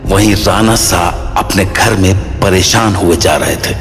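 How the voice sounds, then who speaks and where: Deep reverberant male horror narrator. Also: unhurried, a bit bright. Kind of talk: scripted horror story narration. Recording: long reverb with clear music bed.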